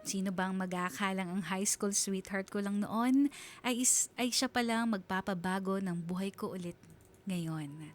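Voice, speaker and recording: A woman's voice: mid-range voice, close to an everyday voice. An actress playing a sentimental married mother with a Filipino accent, narrating her own love story in a heartfelt, reflective way for a radio drama.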